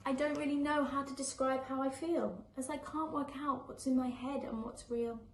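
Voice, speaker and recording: A woman's voice: high-pitched, steady tone, normal speed. A woman voicing a sad young boy in a children's storybook, earnestly and hesitantly opening up in rhyme. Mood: sad, confiding. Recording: echoey room with faint background noise.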